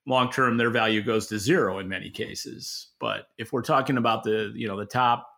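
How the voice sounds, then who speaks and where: Bright, forceful man giving market commentary. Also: medium pitch, fairly even intonation, normal speed, vocal fry. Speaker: podcast co-host and industry analyst. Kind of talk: unscripted expert market commentary.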